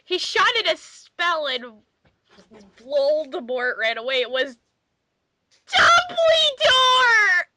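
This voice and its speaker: A woman's voice: very high-pitched, very animated, slow, raised voice, heavy background noise. A woman giving a theatrical dramatic reading of fan fiction, full of exclamation. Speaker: player in a dramatic reading game.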